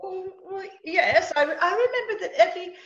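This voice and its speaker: Woman playing a high, fluttery, sweet older mother. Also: expressive, moderate pace, lo-fi sound. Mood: innocent, flustered. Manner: chatty, flustered, twittering, genteel. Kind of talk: 1940s radio comedy-drama acting.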